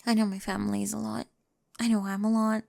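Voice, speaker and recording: A woman's voice: mid-range voice, flat delivery, fast, gravelly, darkish tone, dry recording. A woman playing an awkward, nerdy, affectionate young girlfriend in an ASMR roleplay, hesitant but precise, often dropping toward a whisper, close to the microphone. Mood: earnest, shy. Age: young adult.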